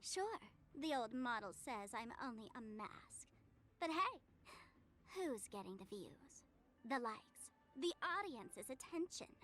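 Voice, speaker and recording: Flirty theatrical idol streamer, bright animated voice, unhurried, playful game character. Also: female voice, on the high side, slight background noise, full character voice. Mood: sly, provocative. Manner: playful, teasing, showy delivery. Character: flirty, theatrical female livestreamer idol, sly and bubbly.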